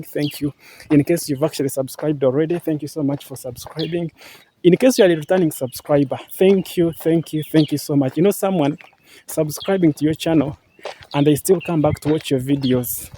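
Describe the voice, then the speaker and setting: A man's voice: on the high side, moderate pace, warm tone, a little hiss or hum. A young Ugandan man with a Ugandan English accent, talking casually to camera on a walk outdoors, repeating himself often and thanking viewers again and again. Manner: repetitive, earnest, many fillers and thank-yous. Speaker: vlogger. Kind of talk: casual vlog talk to camera. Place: outdoors, walking through green farmland.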